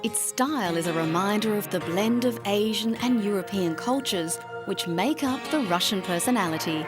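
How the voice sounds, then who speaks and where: Female documentary narrator, polished and even, clear music bed beneath. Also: medium pitch, normal speed. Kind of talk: scripted narration.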